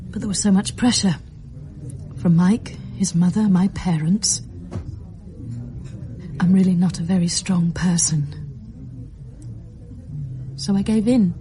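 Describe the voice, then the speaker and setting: An actress playing a fragile but determined young mother in distress, speaking haltingly and emotionally in a radio drama. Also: on the low side, mellow, slight background noise. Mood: sad halting. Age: young adult. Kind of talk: naturalistic radio drama acting.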